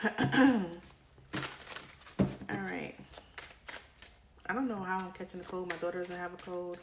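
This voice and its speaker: A woman with a fairly low voice talks her viewers through a tarot spread, checking in with "right?" as she goes. She is coming down with a cold, and her voice sounds worn. The room is echoey, with cards shuffling and clicking clearly in the background. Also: measured pace, background noise. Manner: chatty, addresses viewer directly with frequent "right?". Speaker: tarot reader. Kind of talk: casual tarot reading to camera.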